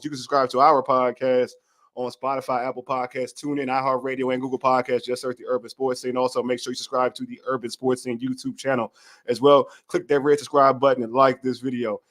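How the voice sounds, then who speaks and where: Hoarse guy w a dc doing a sports podcast, chill slangy talk, stumbles and repeats a lot. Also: medium pitch, level tone, brisk, a bit bright. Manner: loose, repeats words, slangy and friendly. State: hoarse from a cold. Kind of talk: casual sports talk show.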